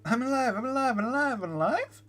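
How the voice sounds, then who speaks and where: A small robot creature from a game, acted out by a man, excitable and bewildered. The voice jumps high and exclaims in panic over game music. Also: fast talker. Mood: frantic relief.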